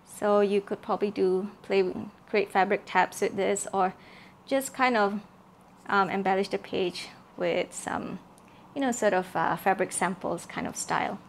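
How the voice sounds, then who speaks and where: A woman from Malaysia showing her handmade journal kits in a casual, soft-spoken way, with many fillers and repeated words. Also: mid-range voice, fairly even intonation, unhurried, slight background noise. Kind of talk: casual show-and-tell craft video.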